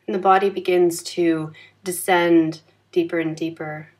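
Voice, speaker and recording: A woman leading a guided yoga nidra meditation in a calm, gentle, soothing manner with unhurried phrasing. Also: lowish voice.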